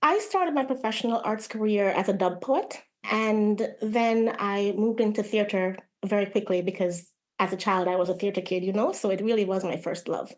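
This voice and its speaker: A woman's voice: mid-range voice, normal speed, few pauses. A woman playwright from Canada answering in an interview, candid and informal. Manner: candid, informal, acts out quotes.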